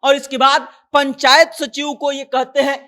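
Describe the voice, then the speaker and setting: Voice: sits high, little pitch movement, a little slow, sharp tone. A news presenter giving informal commentary, retelling a story conversationally and voicing quoted dialogue.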